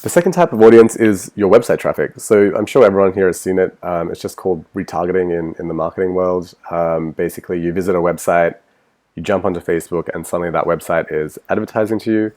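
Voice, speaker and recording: A man's voice: low voice, fast talker, darkish tone. An Australian man with a cold, presenting a marketing webinar over slides in a casual way, with lots of ums and restarts. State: has a cold, sniffling.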